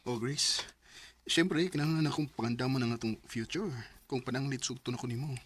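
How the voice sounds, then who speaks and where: An actor playing a devoted, hopeful young boyfriend in a Filipino radio drama, with a Bisaya accent. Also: male voice, mid-range voice, fairly quick, breathy edge, natural voice. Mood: hopeful, tender.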